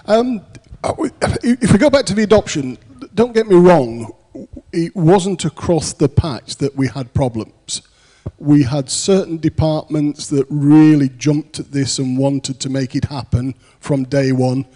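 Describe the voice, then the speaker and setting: A British man answering on a conference panel with a mellow, relaxed tone. His talk is loose and full of fillers and restarts. The sound carries the long reverb of a big conference hall. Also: a little high, unhurried. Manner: rambling, many fillers and restarts. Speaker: panelist, programme manager.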